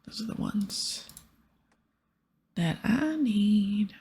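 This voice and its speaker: A woman doing whispered ASMR gameplay narration, with soft murmured asides to herself. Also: mid-range voice, animated, drawn-out words. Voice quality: whispery, breathy. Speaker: game streamer reading quest dialogue.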